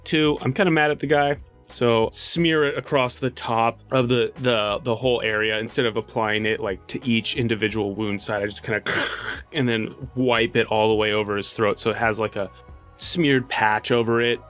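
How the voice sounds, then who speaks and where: A man's voice: medium pitch, steady tone, moderate pace, a bit bright. A man playing a tabletop game, improvising his character's actions casually and thinking aloud. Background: faint music.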